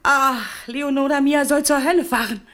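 A high-voiced queen in a radio drama. She is bossy and impatient, giving orders with real urgency. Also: normal speed, a bit bright. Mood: urgent, impatient. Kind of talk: German radio drama acting.

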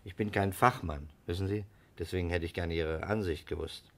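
An actor playing a courteous, shrewd detective who speaks with polite, deliberate probing in a 1960s radio crime drama. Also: male voice, low voice, fairly even intonation. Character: shrewd, courteous male detective quietly cornering a suspect.

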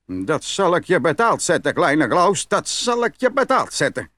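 High-pitched, blustering greedy peasant. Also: male voice, very animated, normal speed, fairly bright tone, no room sound. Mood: angry, threatening. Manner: blustering, exclamatory, stammers when startled. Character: big greedy gullible hot-tempered peasant man, jealous. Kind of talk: fairy-tale audio play acting.

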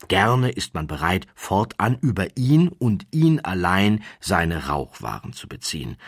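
A man with a very deep voice narrates a literary tale. His tone is husky and rough-edged, recorded dry with no room around it. He delivers the scripted prose in a measured, slightly mannered way, with wry emphasis and deliberate pauses. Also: fairly bright tone, no echo. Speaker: audiobook narrator.

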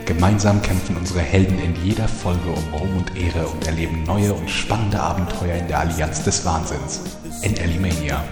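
A man's voice: sits low, normal speed, over loud music. A man narrating a parody audio drama in a mock-epic announcer tone.

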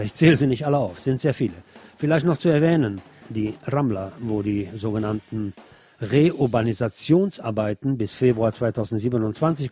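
A man's voice: mid-range voice, mellow, slightly rough. A man presenting scripted news as a commentator, with a sardonic, ironic manner. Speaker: presenter of a local news programme.

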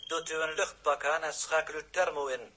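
A cool, formal man aboard a spaceship, with a forceful, husky, fairly high voice. His Netherlands Dutch is clipped and precise, in 1960s radio play style. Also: fairly even intonation, normal speed.

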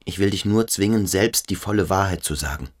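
An actor playing a stern, brooding boarding-school pupil who probes and commands in a German radio play. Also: male voice, deep voice, a little fast. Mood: stern, accusing. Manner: insistent, probing, commanding.

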